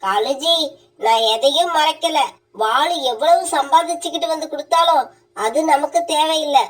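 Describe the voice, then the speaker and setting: A woman voicing a greedy, sharp-tongued young wife bird in a cartoon, with a scolding, bossy manner. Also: high-pitched, normal speed, close to an everyday voice.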